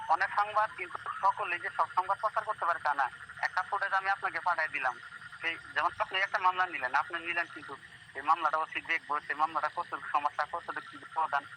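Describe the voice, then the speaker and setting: A man with a Bangladeshi accent speaking earnestly and insistently on a phone call, defending himself. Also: a little high. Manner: earnest, insistent, addresses listener as brother. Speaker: local journalist. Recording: phone call with faint noise.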